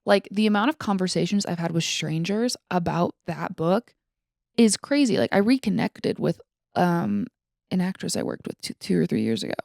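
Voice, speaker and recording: A woman's voice: on the low side, animated, normal speed, vocal fry, a little mellow, dry recording, studio quality. A young woman co-hosting a podcast, chatting casually and giving opinions in very informal speech sprinkled with "like" and mild swearing. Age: young adult. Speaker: podcast co-host.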